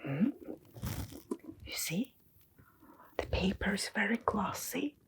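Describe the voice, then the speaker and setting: A woman playing a kindly, mysterious keeper of a magical old bookshop in a hushed, soothing ASMR storytelling roleplay, pausing often. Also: medium pitch, big pitch swings. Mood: secretive, gentle. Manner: slow, hushed, soothing, with long pauses.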